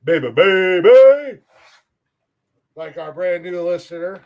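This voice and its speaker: A young man hosts a loose comedy podcast, joking around and swearing freely. His voice sits a bit high for a man, and he laughs often between lines. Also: lively intonation, slow, highs cut off, a crowd in the background. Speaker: podcast host. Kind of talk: casual comedy podcast chat.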